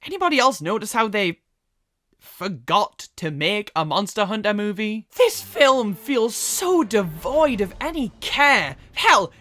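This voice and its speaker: A woman hosting a scripted, opinionated film review, with expressive, emphatic delivery and sarcastic asides. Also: medium pitch, unhurried, no room sound, studio quality. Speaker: video essay host.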